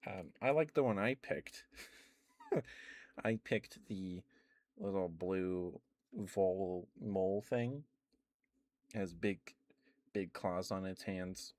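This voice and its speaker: Slow, deep-voiced man rambling softly. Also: no echo. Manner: meandering, many ums and restarts; enthusiastic gushing at times. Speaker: ASMR streamer. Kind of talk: soft-spoken ASMR rambling.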